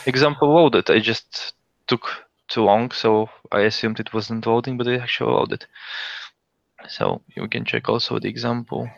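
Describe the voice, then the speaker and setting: A man's voice: mid-range voice, steady tone, normal speed, creaky, no echo. A man hosting a casual tech show, reading out listings and thinking aloud as he goes. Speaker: podcast host. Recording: dull lo-fi sound.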